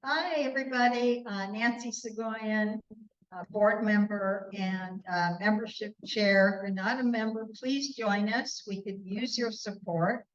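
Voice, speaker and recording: A woman board member introducing herself at a neighborhood meeting, friendly and slightly halting. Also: medium pitch, steady tone, lo-fi sound. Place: indoor community hall.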